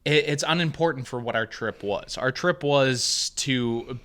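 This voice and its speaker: A man's voice: mid-range voice, a little slow, fairly bright tone. A young adult man co-hosting a casual talk podcast, thinking aloud with many restarts and fillers. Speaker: podcast co-host.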